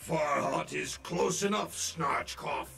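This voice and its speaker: A man playing a sinister, scornful demon with a gravelly voice and an imperious, menacing way of speaking in a cartoon. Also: fairly high pitch, slow pace, pushed and bright, soft background music, heavily acted. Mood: scornful, urgent. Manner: imperious, elaborate menacing phrasing. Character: sinister male demon, cunning, scornful and commanding. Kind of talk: animated villain acting.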